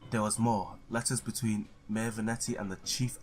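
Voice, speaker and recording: A man's voice: lowish voice, little pitch movement, normal speed, soft background music. A man doing a let's play, reading visual novel text aloud, stumbling now and then and blurting his own reactions.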